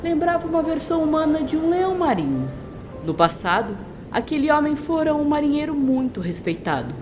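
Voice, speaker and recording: A woman's voice: high-pitched, big pitch swings, a little fast, music in the background. A woman narrator reading a scripted story in a dramatic storytelling style.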